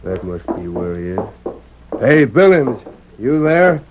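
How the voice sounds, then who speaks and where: Shrewd Western outlaw, noisy recording. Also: male voice, medium pitch, sing-song, measured pace. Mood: curious. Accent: American. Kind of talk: 1930s radio acting. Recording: noisy, dull vintage radio recording.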